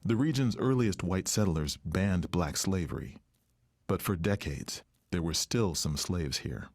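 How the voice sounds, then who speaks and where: A man's voice: sits low, lively intonation, measured pace, a little mellow, dry recording, clean studio sound. A man reading scripted documentary narration as the narrator. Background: plucked guitar music.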